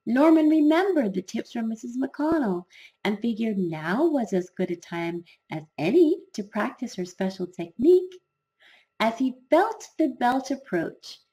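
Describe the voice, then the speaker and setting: A woman reading a children's fairy tale in an expressive, dramatic storytelling style with drawn-out emphasis. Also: a little high.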